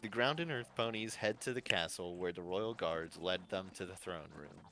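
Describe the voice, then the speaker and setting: A man's voice: deep voice, normal speed, a bit bright, slight background noise. A man narrating a fan-fiction story as its host. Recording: faint music behind. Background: faint music.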